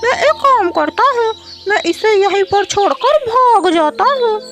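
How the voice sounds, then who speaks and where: A woman's voice: extremely high pitch, animated, measured pace, pitched above the performer's own voice. A woman voicing a harsh, selfish father crow in a cartoon moral story, with a scolding, blustering manner. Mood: scheming.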